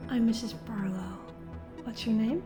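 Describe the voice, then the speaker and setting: An actress playing a kindly, flustered school nurse in an audio drama, soothing and halting in a folksy way. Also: female voice, fairly high pitch, fairly even intonation, over music, lightly acted. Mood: chirpy, kindly.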